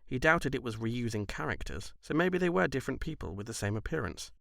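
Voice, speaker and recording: A man's voice: mid-range voice, expressive, fairly quick, creaky, bright, forceful tone, no echo, studio quality. A man reading scripted story narration in an audiobook style, as the narrator of a fan dub.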